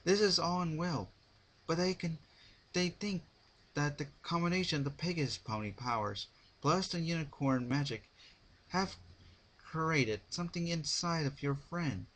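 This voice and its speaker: A man's voice: a little high, measured pace, a bit bright. Man reading as a dignified female pony ruler. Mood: grave, concerned.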